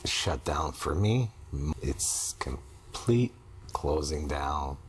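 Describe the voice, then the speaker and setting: A man answering as a client in a therapy session, in terse, halting phrases. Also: rumbling low, animated. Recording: faint background noise.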